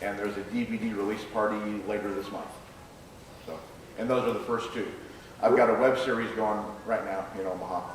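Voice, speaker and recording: A man speaking as a screenwriter in a live question-and-answer session, with a halting, hesitant delivery. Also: lowish voice, steady tone. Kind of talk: live Q&A after a staged reading. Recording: echoey room, distant mic, faint noise.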